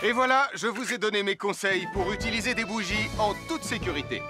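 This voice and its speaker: A man's voice: high-pitched, brisk, natural voice. A man playing a friendly, patient fireman who presents safety tips in a children's cartoon. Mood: patient, instructive. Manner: patient, instructive, clearly articulated. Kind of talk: animated cartoon dub. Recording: music behind the voice.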